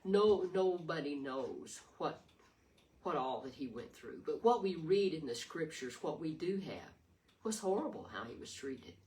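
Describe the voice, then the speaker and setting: An older woman with a raspy voice giving an earnest, rambling devotional talk full of restarts. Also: low-pitched, big pitch swings, unhurried, darkish tone. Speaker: devotional video host. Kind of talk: informal devotional sermon. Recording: distant mic with faint noise.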